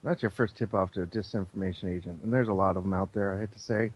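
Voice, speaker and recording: Low-voiced man, halting and opinionated. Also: steady tone, muffled recording. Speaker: interview guest, former air traffic controller. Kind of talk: conversational radio interview.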